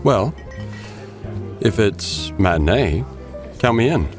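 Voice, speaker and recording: A man's voice: on the low side, very animated, slow pace. A man playing a young wealthy vigilante who poses as an easygoing socialite, talking casually in an audio drama. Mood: awkward, evasive. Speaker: voice actor. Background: music.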